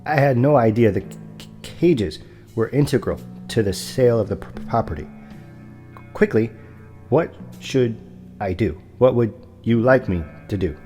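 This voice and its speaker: An actor playing a meek, courteous, big property broker who stammers on his consonants and speaks in a formal, halting way in an audio drama. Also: medium pitch, expressive, dark tone, faint music behind, natural voice. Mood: apologetic, nervous. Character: large muscular male property broker, meek, anxious, courteous.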